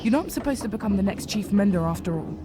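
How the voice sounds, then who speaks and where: A woman's voice: mid-range voice, lively intonation, fast talker, background noise, close to an everyday voice. A woman voicing an earnest young female rabbit apprentice healer in a fantasy game, polite and restrained. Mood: earnest, worried. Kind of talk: visual novel voice acting.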